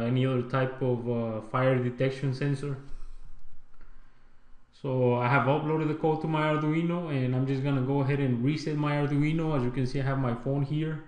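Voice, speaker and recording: An echoey room surrounds a man presenting a casual tech tutorial. His pitch is average, and he explains things informally and haltingly. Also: a little mellow. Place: indoor room.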